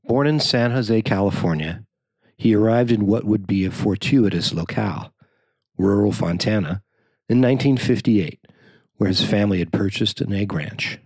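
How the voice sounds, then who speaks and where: A man's voice: on the low side, moderate pace, a little mellow, no echo. A man reading a written magazine-style profile aloud as a journalist narrator.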